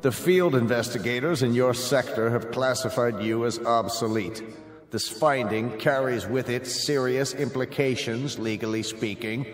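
A man's voice: mid-range voice, soft background music, close to an everyday voice. Man playing a stern chancellor in an echoing hall. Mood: stern, officious. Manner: formal, imperious, deliberate. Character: stern, officious male chancellor of a totalitarian state. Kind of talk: radio drama acting. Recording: echoey hall reverb.

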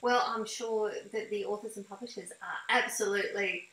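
Woman, middle-aged, forceful bright tone, conversational interviewer, roomy video-call sound. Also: medium pitch, fairly even intonation, normal speed, a little hiss or hum. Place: indoor home room. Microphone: computer mic on a video call. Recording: echoey room on video call.

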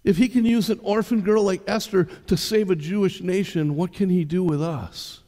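A man's voice: sits high, steady tone, moderate pace, warm tone. An older man, a pastor preaching conversationally from a church stage and putting direct questions to the congregation. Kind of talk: sermon. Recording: long reverb of a church hall.

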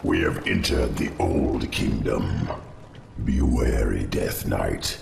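A man voicing an ancient undead insect lord in a fantasy game, grave and ominous, with a gravelly processed monster voice. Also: expressive, heavy background noise, heavily acted. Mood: grave, wary. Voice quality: very deep, gravelly, rumbling. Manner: slow, ominous, formal delivery. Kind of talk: fantasy video game voice acting. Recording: echoing, loud music behind. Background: game music.

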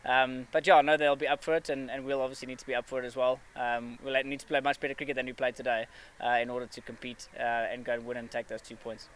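A man in his thirties talks fast in an even, flat tone, stopping often on fillers and restarting his sentences. He answers post-match questions outdoors, with faint background noise behind him. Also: mid-range voice. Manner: measured, many fillers and restarts. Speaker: cricket player at a press conference. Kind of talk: post-match press interview. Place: outdoor press area with a backdrop.

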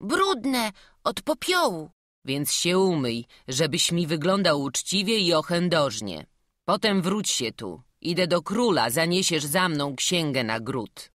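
Woman reading old stern priest, curt and commanding, low slow voice, dry storybook recording. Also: sing-song, a bit bright, no echo. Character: elderly male priest, stern but kindly scholar.

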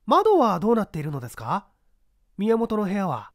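A man reading a mystery aloud, voicing an earnest young detective who asks polite, crisp questions. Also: high-pitched, wildly swooping pitch, moderate pace, no room sound, clean studio sound, voice pushed up, exaggerated voice.

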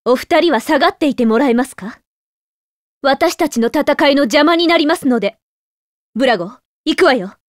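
A woman's voice: sits high, expressive, moderate pace, no echo, studio quality. A woman playing a serious, determined young noblewoman fighter in an anime game, speaking with polite, commanding composure. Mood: resolute.

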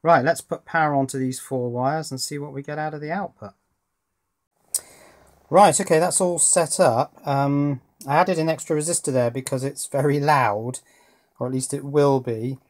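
A man's voice: a little high, steady tone, moderate pace. A man giving a casual hands-on electronics demonstration, thinking aloud a little hesitantly as he goes. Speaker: electronics hobbyist presenter. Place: indoors at a workbench.

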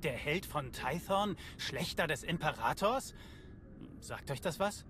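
A man's voice: fairly high pitch, lively intonation, measured pace, bright, forceful tone, a little hiss or hum. A man playing a skeptical field doctor in a German game dub, blunt and wry. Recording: sci-fi game ambience behind voice.

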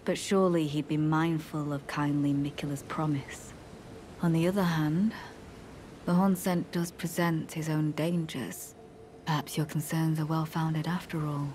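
Low-voiced, devout knight. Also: female voice, little pitch movement, a little mellow, a little hiss or hum. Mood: pensive. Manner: measured, formal, archaic phrasing. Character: solemn, devout female knight, courteous yet coldly zealous. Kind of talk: scripted video game dialogue.

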